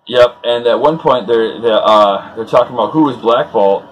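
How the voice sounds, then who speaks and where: A man's voice: mid-range voice, moderate pace, poor audio. A man hosting a comic read-along, retelling the story off the cuff with informal, chuckling fan enthusiasm and loosely acted dialogue. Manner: acts out dialogue loosely in his own voice.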